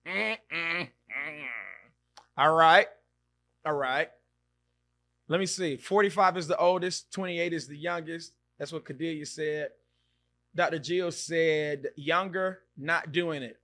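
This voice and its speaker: A man in his thirties hosting a podcast, chatting casually and reacting loudly as he reads out viewer comments. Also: a little high. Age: 30s. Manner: animated, exclaims and reacts loudly, repeats himself. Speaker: podcast host. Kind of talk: casual live-stream chat reading comments.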